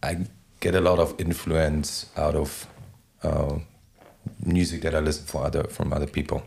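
A man in his forties with a German accent, giving halting, reflective answers as an interview guest, often searching for words. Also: a bass voice, little pitch movement, creaky, darkish tone. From Berlin, Germany. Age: 40s. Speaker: podcast guest, DJ.

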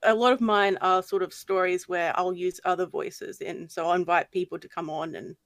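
A woman with a slight lisp and a fairly deep voice, chatting hesitantly as a podcast guest. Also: steady tone, moderate pace, no room sound, clean studio sound. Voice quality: voice on the deeper end for a woman. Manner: soft-spoken, hesitant with frequent ums. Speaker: guest narrator. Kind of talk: casual podcast chat.

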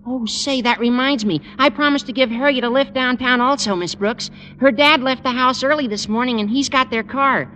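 Gawky eager high schooler: high cracking voice, bright and sharp, vintage radio comedy. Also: male voice, normal speed, few pauses. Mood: chatty. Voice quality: squeaky, cracking adolescent voice. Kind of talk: 1940s-50s radio sitcom acting. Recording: echoey old radio broadcast, audience, faint noise.

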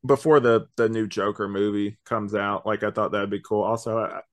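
A man's voice: lowish voice, steady tone, normal speed. A man co-hosting a podcast, giving rambling, slangy opinions in a casual review chat. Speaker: podcast co-host.

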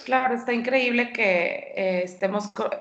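A woman's voice: a little high, moderate pace, a bit bright. A woman co-hosting a podcast, chatting in a friendly, eager way and asking the guest questions. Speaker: podcast co-host. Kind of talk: casual podcast chat. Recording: slightly dull lo-fi audio.

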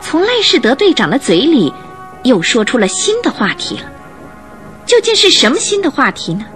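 A woman narrator telling a radio drama story in an expressive, scripted and dramatic style, building suspense. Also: sits high, moderate pace. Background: music.

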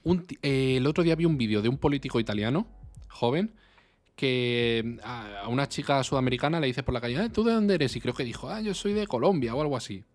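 A man's voice: mid-range voice, animated, a little fast, darkish tone, no echo. A man with an accent from Spain co-hosting a casual debate podcast, probing with hypothetical questions in colloquial speech. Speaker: podcast co-host.

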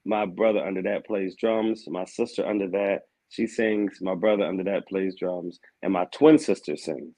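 A man in his thirties talks through his music life in a relaxed way, often saying "man" and laughing. His voice sits very low. He sounds like a guest on a casual podcast. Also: moderate pace, few pauses. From Aberdeen, Maryland. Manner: easygoing, laughs often, says "man" a lot. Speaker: guest, vocal coach and assistant pastor.

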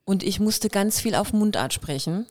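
Lively storytelling German actress. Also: female voice, mid-range voice, moderate pace. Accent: light Kurpfalz dialect touches. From Germany, Kirrlach near Waghäusel. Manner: lively storyteller, imitates dialect sounds. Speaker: guest, actress and singer. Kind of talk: casual podcast interview.

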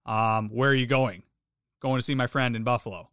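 A man's voice: mid-range voice, fairly even intonation, a little fast, a bit bright, smooth, no room sound, studio quality. Agreeable reflective podcast man. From Canada. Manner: agreeable, reflective, often affirming. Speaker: podcast co-host. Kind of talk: casual conversational podcast.

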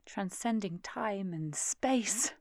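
A woman's voice: medium pitch, animated, takes it slowly, a little mellow, no room sound, studio quality. Anxious, confiding young mother. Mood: wry, confiding. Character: anxious, searching young present-day mother, tender, dryly humorous. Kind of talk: intimate audio drama monologue and murmured talk.

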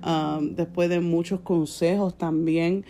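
Mellow-voiced young Puerto Rican woman. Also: on the low side, fairly even intonation, takes it slowly. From born in Puerto Rico, raised in the United States. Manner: cheerful, given to laughing. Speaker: podcast co-host, church worship leader. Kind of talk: casual testimonial chat. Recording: soft guitar music behind voice. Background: soft guitar music.

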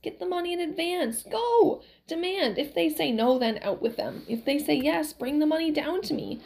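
Woman acting a pushy, high-pitched old wife. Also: animated, moderate pace, vocal fry, darkish tone. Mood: bossy. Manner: urging, nagging. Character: pushy, shrewd old wife, slightly hard of hearing.

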